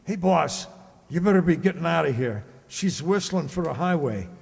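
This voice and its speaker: A man's voice: a little high, expressive, normal speed, gravelly, darkish tone. A man playing a nervous, loyal gangster henchman in a radio drama, with blunt, anxious tough-guy talk. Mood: uneasy. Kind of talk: old-time radio drama acting. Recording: hollow reverb with background noise.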